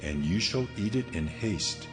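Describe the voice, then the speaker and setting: A man's voice: fairly low pitch, expressive, unhurried, over music. A man playing God in a dramatized scripture reading, solemn and authoritative as he declares commands. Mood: solemn, commanding. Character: God speaking laws, solemn commanding male deity.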